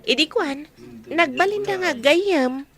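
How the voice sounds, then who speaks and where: A woman plays an earnest young woman confiding in an elder. The voice is high and deferential, with an Ilocano accent, in radio drama style. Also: lively intonation, slow, crowd noise.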